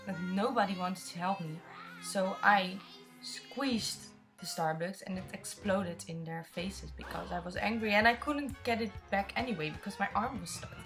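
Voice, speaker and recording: A woman in her twenties with a Dutch accent, chatting openly as she answers viewer questions in a vlog. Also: mid-range voice. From Netherlands. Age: 20s. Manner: chatty, open, rambling storyteller. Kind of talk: casual chat.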